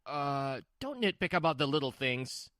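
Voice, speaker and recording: Manga dub role: dismissive, brusque husband played by a woman, lowered pitch, animated delivery, dry sound. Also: moderate pace, no echo. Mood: defensive, irritated. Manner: brusque, defensive. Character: stubborn, dismissive husband doting on his little sister. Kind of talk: scripted manga dub dialogue.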